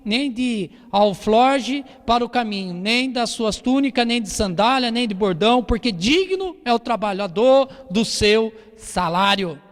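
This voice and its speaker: A man with a Brazilian Portuguese accent preaching a church sermon, exhorting with repetition and rhetorical questions. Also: very high-pitched. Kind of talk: church sermon with Bible reading. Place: church hall during a service. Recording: long hall reverb.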